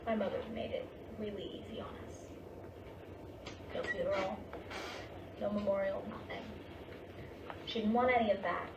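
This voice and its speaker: A woman acting an anxious, sharp-tongued wife and mother who is grieving, in a staged play reading with clipped, pointed delivery. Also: medium pitch, steady tone, moderate pace, pauses often, lo-fi sound, close to an everyday voice. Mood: tender, grieving. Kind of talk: staged play reading, naturalistic dramatic dialogue. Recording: distant, echoey room with clear background noise.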